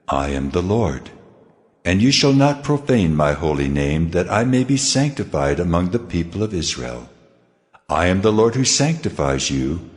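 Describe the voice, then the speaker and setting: A man voicing the Lord, solemn and commanding, in a dramatized scripture reading. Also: sits low. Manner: solemn, slow, weighty pronouncements.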